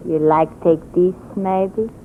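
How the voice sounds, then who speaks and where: Slow, ominous island servant woman. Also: fairly low pitch, fairly even intonation. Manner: broken English, terse, foreboding. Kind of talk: 1940s radio drama acting. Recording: muffled, noisy 1940s radio recording.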